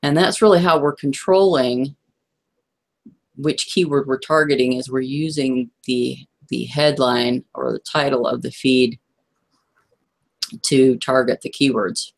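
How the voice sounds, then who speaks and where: Hoarse woman explaining a system chart. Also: rumbling low, fairly even intonation, unhurried. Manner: explanatory, checks in with listeners. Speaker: guest presenter. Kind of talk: webinar presentation walking through a chart.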